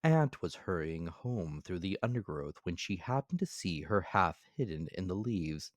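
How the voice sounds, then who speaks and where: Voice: sits low, sing-song, normal speed, no echo, clean studio sound. A storyteller giving a dramatic scripted reading of a children's fable.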